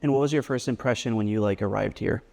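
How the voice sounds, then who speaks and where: A man's voice: fairly low pitch, quick pace, gravelly, a little mellow, in a large hall. A man hosting an interview in a guest's home. He asks loose, friendly questions, often says "like", and backs the guest up with short murmurs. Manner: friendly, uses "like" a lot, lots of backchannel mm-hmms. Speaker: podcast host. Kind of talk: casual interview.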